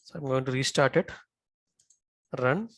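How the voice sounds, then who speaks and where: Man teaching software, dry close sound. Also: medium pitch, unhurried, no echo. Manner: checks in often with short tag questions. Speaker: software instructor. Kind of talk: live software tutorial with screen walkthrough.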